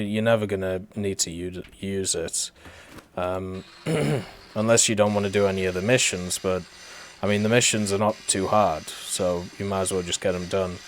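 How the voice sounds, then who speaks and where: Laid-back British streamer, low voice. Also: male voice, slight background noise. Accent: British, softened by years in Canada. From Britain. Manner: laid-back, rambling, answers chat. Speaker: livestreamer. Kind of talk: casual gameplay commentary.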